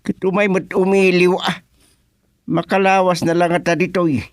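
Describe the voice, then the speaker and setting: Man playing comic Ilocano village son, fairly high voice, grumbling, whiny, exclamatory, close dry radio drama sound. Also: little pitch movement, normal speed, dry recording, lightly acted. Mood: bantering.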